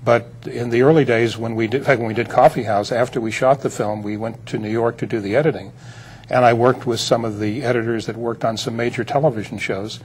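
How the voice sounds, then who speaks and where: A man's voice: mid-range voice, fairly even intonation, brisk, low-quality recording. Older guy telling rambly stories in an interview, some noise behind him. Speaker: interview guest, film producer. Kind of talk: reminiscing in a TV interview.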